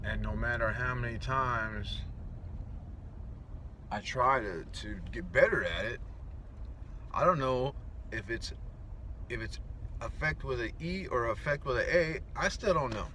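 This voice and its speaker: A man giving a casual, rambling vlog update as a writer, candid and halting in his delivery, with some casual swearing. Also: mid-range voice, lively intonation. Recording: dull lo-fi, loud outdoor traffic noise.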